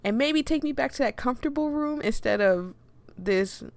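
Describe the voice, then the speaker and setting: A woman hosting a game playthrough with casual, expressive and playful commentary. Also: high-pitched, normal speed, dry recording. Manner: playful, chatty, expressive reactions. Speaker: host playing a game for viewers.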